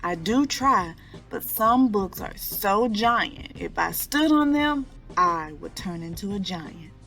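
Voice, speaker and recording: A woman reading a picture book aloud to children in an expressive storytelling style, drawing out key words. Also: mid-range voice, slow, faint music behind.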